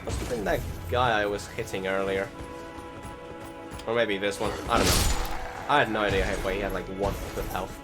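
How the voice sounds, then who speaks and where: A man with a fairly low voice narrates his recorded gameplay in a casual way. He rambles, stops and restarts sentences as he thinks. Music plays clearly behind him. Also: normal speed, pauses often. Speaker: gaming video host.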